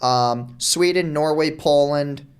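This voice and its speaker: Fast-talking casual young man. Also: a little high, clean tone. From New York. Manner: fast, casual, with frequent "dude" and "like". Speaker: guest, marketer. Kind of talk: casual podcast conversation.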